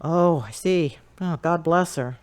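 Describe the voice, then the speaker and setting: A woman playing a supportive, devout older sister, expressive and encouraging, in an audio drama phone chat. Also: sits low, slow pace. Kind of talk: hobby audio drama acting.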